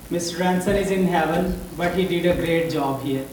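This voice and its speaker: A man with a Pakistani accent, a Punjabi speaker talking in English, addressing a church congregation haltingly and searching for his words. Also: a little high, a little mellow, soft background music. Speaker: visiting missionary speaker. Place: church hall. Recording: roomy hall audio from a distance.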